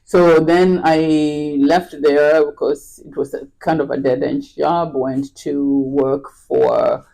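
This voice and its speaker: A middle-aged woman from Kenya, a podcast guest talking in an unhurried, casual way, with lots of fillers and restarts. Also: deep voice, steady tone, vocal fry, mellow, low-quality recording. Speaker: podcast guest, real estate agent. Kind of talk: casual interview chat.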